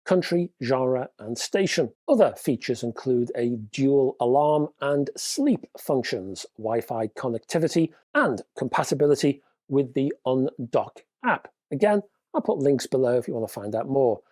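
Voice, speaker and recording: Male host, mid-pitched, casual chatty tech-news delivery, dry close sound. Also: lively intonation, unhurried, warm tone, no echo. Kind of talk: hi-fi news roundup.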